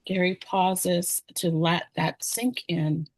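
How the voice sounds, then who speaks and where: A woman's voice: lowish voice, fairly even intonation, slow. A woman reading stage directions as the narrator of a screenplay table read.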